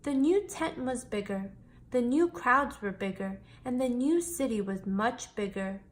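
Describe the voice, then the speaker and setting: A woman with a fairly high voice narrates a children's storybook. She reads aloud expressively, in the manner of a storyteller for young listeners. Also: measured pace. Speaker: storyteller reading a children's picture book aloud.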